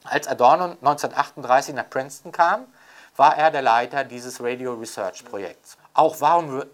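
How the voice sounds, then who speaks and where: An older man talking off the cuff as an interviewed author and scholar, reflective and emphatic, often adding rhetorical tags. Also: mid-range voice. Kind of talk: unscripted intellectual interview talk.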